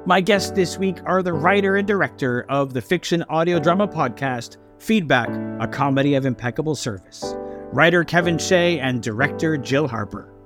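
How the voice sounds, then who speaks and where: A man's voice: fairly high pitch, expressive, moderate pace, over music. Canadian man hosting a podcast. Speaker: theater podcast host. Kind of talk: scripted podcast intro and conversational interviewing.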